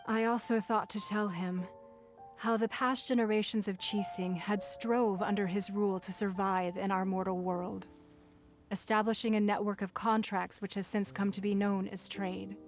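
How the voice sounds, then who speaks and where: An actress voicing a composed, dignified female leader in a game cutscene, formal and measured. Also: mid-range voice, flat delivery, soft background music, natural voice. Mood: solemn.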